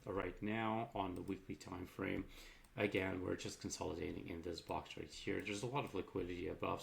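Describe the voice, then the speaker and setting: A man with a low, gravelly voice talks through his trading charts in a loose, chatty way, dropping fillers and the odd swear word. His voice has gone slightly raspy from talking so long. A faint hum of room noise sits behind him. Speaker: market commentator. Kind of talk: casual market analysis over charts.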